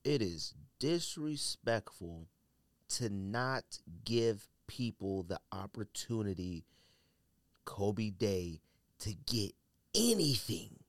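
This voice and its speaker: A man's voice: fairly low pitch, big pitch swings, slow, dry recording. An American man hosting a solo podcast, giving opinionated commentary in slangy, colloquial speech and repeating phrases for emphasis. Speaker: podcast host.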